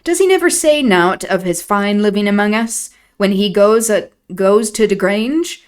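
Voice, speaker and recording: A woman voicing a grumbling, pious old male servant, reading his lines slowly in a broad rustic dialect. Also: on the low side, smooth.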